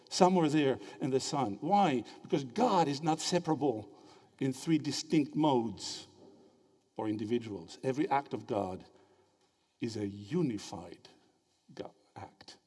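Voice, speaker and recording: A man from Greece giving a lively, interactive theology lecture and quizzing his audience with quick questions. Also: medium pitch, breathy edge. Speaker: theology speaker at a symposium. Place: lecture hall. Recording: reverberant hall.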